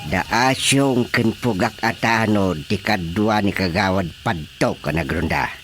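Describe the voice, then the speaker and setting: A man playing an excitable, boastful older village chairman in a comic radio drama, with exclamatory delivery. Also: fairly low pitch, measured pace, over music. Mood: instructing. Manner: exclamatory, animated.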